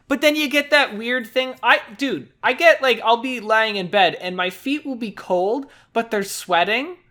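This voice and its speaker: A man co-hosting a podcast in casual chat, rambling along with stammered restarts and quick laughs. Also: very high-pitched, pushed and bright. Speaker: podcast co-host.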